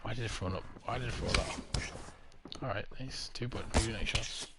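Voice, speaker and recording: A man's voice: fairly low pitch, normal speed. A young man hosting a live game stream, giving chatty play-by-play and coaching opponents, swearing now and then. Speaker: streamer host. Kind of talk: casual live gameplay commentary. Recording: noisy stream audio with controller clicks.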